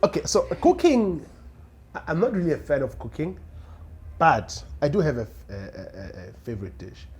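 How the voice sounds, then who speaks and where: A young man with a South African accent, a radio producer chatting casually as a podcast guest, animated and quick to laugh, often restarting his sentences. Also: a little high, slight background noise. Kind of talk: casual interview chat.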